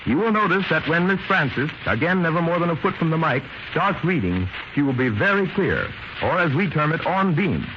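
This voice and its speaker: A man's voice: a little high, lively intonation, fairly quick, few pauses. A man presenting as a 1930s radio announcer in a formal, precise, instructive manner. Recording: noisy muffled 1930s radio recording.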